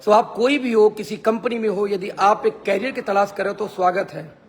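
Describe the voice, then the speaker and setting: A man with a hoarse, gravelly voice that sits high for a man. He leads a sales team and gives an emphatic, pep-talk style live stream, hammering points home by repeating them. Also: steady tone, moderate pace. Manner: emphatic, repeats phrases for effect. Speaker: network marketing team leader. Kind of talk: motivational Facebook live talk.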